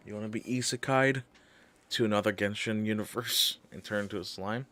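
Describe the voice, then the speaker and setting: A man's voice: on the low side, fairly even intonation, a little fast, a bit bright. A man giving casual live game commentary as a streamer, muttering reactions and repeating his phrases.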